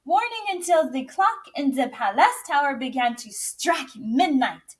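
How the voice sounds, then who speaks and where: A woman reading a children's story aloud in an expressive, theatrical, deliberate way. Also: high-pitched. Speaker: English teacher and drama director reading aloud.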